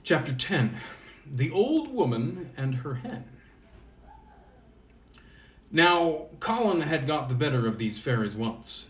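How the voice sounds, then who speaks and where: A man narrates an old fairy tale in a mid-range voice. His reading is expressive and deliberate, with a dramatic storyteller's phrasing. The sound is roomy, with plenty of echo around the voice. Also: medium pitch.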